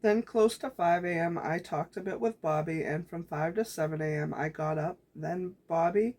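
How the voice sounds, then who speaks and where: Woman vlogger, calm diary-style reading, some vocal fry and rasp. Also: lowish voice, moderate pace. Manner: calm, gentle reflective reading. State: voice getting raspy. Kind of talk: reading her handwritten journal aloud.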